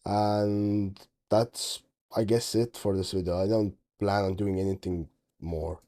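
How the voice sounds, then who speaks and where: A teenage man from Estonia, speaking English as his second language, rambles offhandedly through commentary on his own game footage. His voice sits a little low and has a soft, mellow tone. He trails off, restarts, corrects himself, and sounds tired throughout. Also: level tone, measured pace. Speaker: game dev vlogger. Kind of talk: casual commentary over screen recording.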